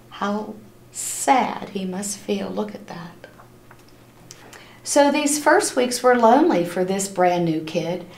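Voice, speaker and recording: Slow-paced woman reading aloud, roomy echo. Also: mid-range voice. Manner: animated, acts out characters' lines, pauses to ask listeners questions. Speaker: reader of a children's picture book. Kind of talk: expressive read-aloud storytelling for children. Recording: roomy, echoing room sound.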